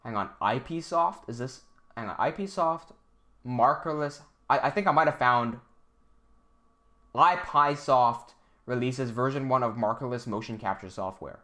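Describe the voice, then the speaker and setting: A young man from Hong Kong talks through his ideas on a casual livestream at a middle pitch. He restarts his sentences often and thinks out loud as he reads from the chat. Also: unhurried. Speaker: animator hosting a livestream.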